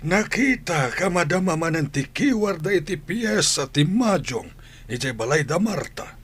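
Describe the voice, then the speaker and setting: A man's voice: fairly high pitch, very animated, measured pace, a bit bright, breathy edge, heavily acted. An actor playing a concerned, admonishing elderly grandfather with an Ilocano accent in a radio drama. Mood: disapproving.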